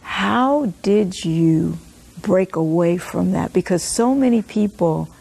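A woman's voice: fairly low pitch, lively intonation, slow pace, creaky, dark tone, breathy edge. A middle-aged woman hosting a conversational religious TV interview, putting questions to her guest. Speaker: TV interview host. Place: indoor TV studio set, seated.

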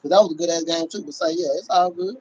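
A man's voice: a little high, fairly even intonation, quick pace, dark tone, husky, highs cut off. A middle-aged man chatting casually on a sports livestream, slangy and sweary, leaning on repeated catchphrases. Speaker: livestream host and Cowboys fan. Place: small room indoors. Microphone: phone at close range.

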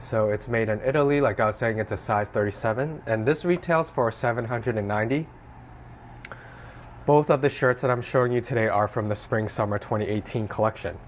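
A young man with a slightly hoarse voice from a cold, relaxed and explanatory as he narrates an unboxing at home. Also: mid-range voice, a little fast, a little hiss or hum. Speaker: fashion vlogger. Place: small room indoors at home.

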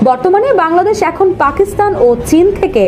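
Very high-pitched, animated woman reading news. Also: fairly quick, a little hiss or hum. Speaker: news narrator. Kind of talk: scripted news narration.

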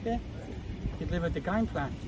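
A middle-aged man, a football manager, giving a blunt, matter-of-fact post-match interview beside a pitch. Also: lively intonation, fairly quick. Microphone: camera from a few metres off. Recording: distant mic with loud outdoor noise.

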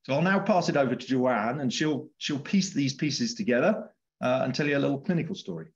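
A man's voice: medium pitch, expressive, brisk. A middle-aged man presenting research findings on a video call, explaining step by step. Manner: explanatory, with fillers and restarts. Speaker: chief scientific officer. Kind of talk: webinar presentation. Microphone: webcam on a video call. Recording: dull lo-fi call audio.

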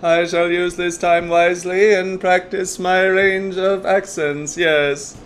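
An actor playing an eager, formal and pedantic non-human newcomer who poses as a human in an audio comedy. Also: male voice, sits high, level tone, takes it slowly, a bit bright, slight background noise. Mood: prim, self-assured. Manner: formal, pedantic, literal-minded. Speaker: new pupil in an online acting class. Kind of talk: comedy audio drama acting.

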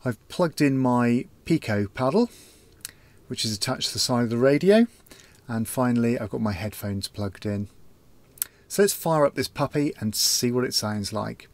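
A man's voice: medium pitch, expressive, normal speed, dry recording. A man reviewing a small radio at a tabletop, explaining each step methodically with frequent filler sounds. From United Kingdom. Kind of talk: hands-on product demonstration. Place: indoors at a tabletop.

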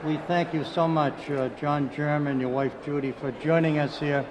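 An older man with a Boston accent hosts a live radio show, his voice sitting a little high for a man. He talks upbeat like an announcer, dotting his lines with fillers, at a desk microphone with a faint hum of noise around him. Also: fairly even intonation, normal speed. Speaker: radio host. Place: conference hall, indoors. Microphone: desk microphone, headphones.

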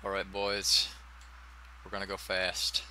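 A man's voice: low voice, completely flat delivery, takes it slowly, sharp tone, a little hiss or hum, rough recording. A man giving casual, sweary and self-deprecating commentary as he plays a video game. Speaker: let's player.

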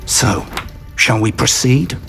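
An actor playing an elderly, cautious and kindly British wizard professor, speaking in measured, formal phrases in a video game. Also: male voice, medium pitch, animated, creaky, a bit bright, soft background music, full character voice. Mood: cautious, encouraging. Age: older. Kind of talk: video game cutscene acting.

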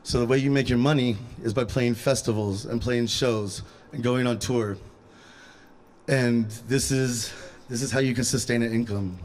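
A man's voice: mid-range voice, moderate pace, a little hiss or hum. A man in his thirties talking casually about street music and live shows, holding a handheld mic in an outdoor courtyard. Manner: relaxed, conversational teaching. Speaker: rapper. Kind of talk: outdoor rap workshop talk and live rapping. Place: outdoor courtyard at a small event. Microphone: handheld microphone. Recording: loud hip hop beat behind. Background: hip hop beat.

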